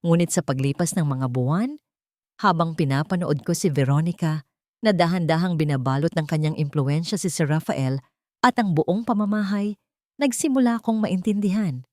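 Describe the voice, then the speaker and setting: A woman narrates an audio drama in a low, close and dry voice. Her delivery is solemn and measured, carrying emotion through a scripted first-person story. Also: dark tone, no echo.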